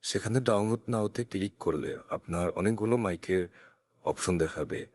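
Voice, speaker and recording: A man narrating a step-by-step software tutorial in a careful, instructional way, recorded on a close USB condenser mic. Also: on the low side, moderate pace, no echo. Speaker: tech tutorial presenter. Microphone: close USB condenser microphone.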